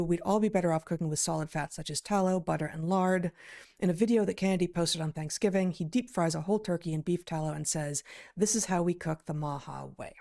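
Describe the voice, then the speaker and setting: A woman giving deliberate, articulate conversational commentary as a podcast co-host, pausing mid-sentence. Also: fairly low pitch, creaky, a little mellow. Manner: deliberate, articulate, hesitant pauses mid-sentence, emphatic word choices. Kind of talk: conversational commentary on news, reading out quotes.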